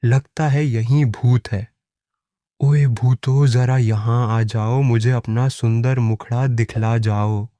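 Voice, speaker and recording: A man's voice: mid-range voice, steady tone, slow, dark tone, no echo, clean studio sound, natural voice. A man voicing a hapless, cheeky village priest in a cartoon, with a theatrical, pleading manner. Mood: bold, cheeky.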